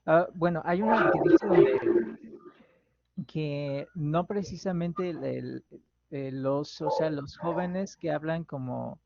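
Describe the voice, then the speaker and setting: Slow, gravelly student, hesitant. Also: male voice, a little high. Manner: halting, hesitant, many restarts. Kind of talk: reading aloud in class, then answering. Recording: dull lo-fi call audio with steady noise.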